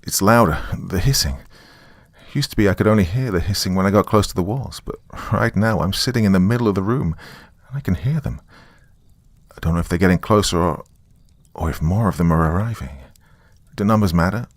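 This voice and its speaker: Haunted male writer, performed by a male narrator: low, dry close sound, wry first-person horror confession. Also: brisk, dry recording. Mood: uneasy. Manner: musing, wry, conversational asides. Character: weary, frightened male writer recording a confession on tape.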